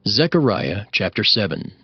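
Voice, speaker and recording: A man reading scripture and chapter headings as a narrator, formal and measured. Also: lowish voice, very animated, husky, dry recording, soft background music. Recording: dry, slightly muffled studio sound.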